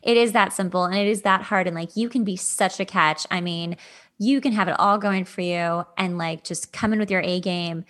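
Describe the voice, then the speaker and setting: A woman's voice: lowish voice, fairly even intonation, normal speed, a bit bright. Casual woman hosting a chatty podcast. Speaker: podcast host. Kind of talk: casual podcast chat about dating.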